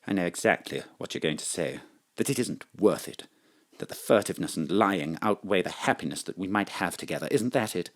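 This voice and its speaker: A man's voice: sits low, lively intonation, brisk. A man acting in an audio drama as an earnest, needy lover in a doomed affair. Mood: earnest, yearning. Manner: earnest, questioning. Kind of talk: romantic melodrama audio acting.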